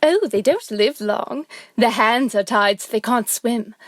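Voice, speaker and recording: A woman's voice: a little high, animated, measured pace. A woman playing a cocky, mischievous boy adventurer in an audio drama, matter-of-fact and boastful.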